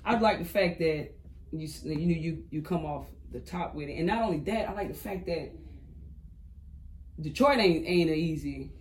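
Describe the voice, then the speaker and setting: A man hosts a relaxed interview and nudges his guest along with loose, filler-heavy questions. His voice sits high for a man, over a faint background hiss. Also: normal speed. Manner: encouraging, easygoing, heavy with fillers. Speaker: interview host. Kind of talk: casual interview talk.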